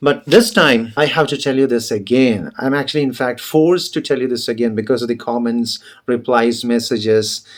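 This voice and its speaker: A man's voice: normal speed, few pauses. A young adult man whose first language is Malayalam, giving an earnest, insistent motivational talk to English learners, repeating his points and pushing up his voice for emphasis. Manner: earnest, insistent and repetitive, rising in pitch when stressing points. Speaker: English teacher.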